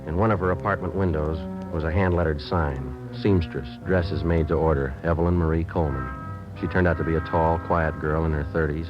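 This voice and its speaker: Low, clipped, deadpan police sergeant. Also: male voice, fairly quick. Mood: matter-of-fact. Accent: American. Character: terse, matter-of-fact male police sergeant detective. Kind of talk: 1950s radio drama narration and dialogue. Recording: dull vintage radio recording with music.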